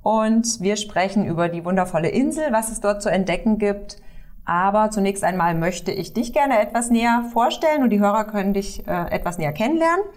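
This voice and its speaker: A woman hosting a podcast interview in a welcoming, clearly phrased manner. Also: mid-range voice, animated, fairly quick, darkish tone. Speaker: podcast host.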